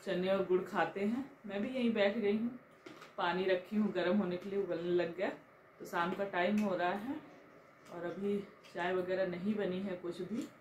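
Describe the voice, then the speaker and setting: A woman's voice: medium pitch, a little mellow, slight background noise. Chatty woman vlogger with a sore throat. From India. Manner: chatty, homely, laughs often. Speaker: family vlogger. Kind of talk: casual vlog chat. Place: home and market.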